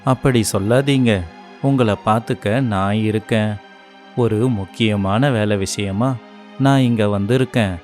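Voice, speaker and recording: Guy voicing a kind gentle prince god, low slow voice, soft music in the back. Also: lightly acted. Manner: gentle, reassuring. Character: kind, dutiful divine prince. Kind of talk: animated storybook dialogue.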